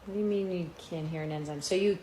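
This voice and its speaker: A woman's voice: on the low side, fast talker, mellow. A woman in casual, back-and-forth banter. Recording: roomy echo, faint noise.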